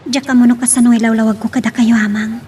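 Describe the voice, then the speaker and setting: A woman's voice: fairly high pitch, level tone, fast talker, warm tone, natural voice. A woman playing a troubled, earnest daughter pleading with her father in an Ilocano audio drama, with an Ilocano accent. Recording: clear background hiss and noise.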